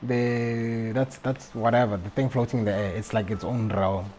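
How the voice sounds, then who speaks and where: A man's voice: mid-range voice, fairly even intonation, moderate pace, a little mellow, slight background noise. A man chatting casually on a gaming livestream, rambling and repeating himself as he goes. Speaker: live streamer.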